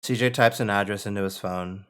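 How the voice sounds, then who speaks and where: Low-pitched man narrating stage directions. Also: fairly quick, a bit bright, smooth. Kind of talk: scripted narration at table read.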